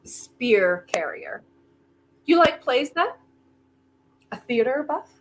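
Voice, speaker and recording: Woman playing a slow, high-voiced, coaxing old tycoon. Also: big pitch swings, exaggerated voice. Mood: wry, probing. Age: older. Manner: theatrical, coaxing, wry. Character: genial, self-important aging theater-loving tycoon bargaining with Death. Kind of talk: staged reading of a comic stage play. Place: remote virtual reading. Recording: noisy online video call audio.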